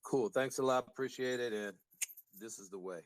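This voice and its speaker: A man calling in with a question, speaking haltingly with many fillers. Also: mid-range voice, no room sound, low-quality recording. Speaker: caller.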